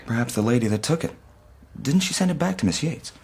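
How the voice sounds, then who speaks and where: Husky, lively young gentleman clerk. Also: medium pitch, fast. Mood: coaxing. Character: earnest young gentleman shop clerk, protective brother. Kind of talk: period radio mystery drama acting.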